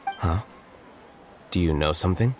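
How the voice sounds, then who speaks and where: Cool, low-voiced blunt scholar. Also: male voice, a little mellow. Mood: cool, skeptical. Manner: measured, matter-of-fact, precise. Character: cool, blunt, intellectual young male scholar. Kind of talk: video game voice acting. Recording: game music behind the voice.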